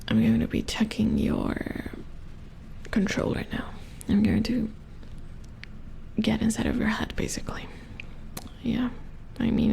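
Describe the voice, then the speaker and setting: A woman playing a weary, puzzled robot repair engineer in a soft-spoken ASMR horror roleplay, murmuring hesitantly in a hushed, breathy voice close to the mic. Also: fairly high pitch, exaggerated voice, rough recording. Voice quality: breathy whisper with fry. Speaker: ASMR performer. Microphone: close ASMR microphone.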